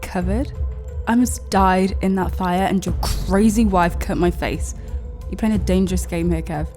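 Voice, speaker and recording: A woman's voice: on the low side, normal speed, dark tone, faint music behind, natural voice. A woman playing a wary, sardonic wronged woman with a dry, sceptical delivery in an audio drama. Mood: accusing. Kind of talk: dramatic dialogue.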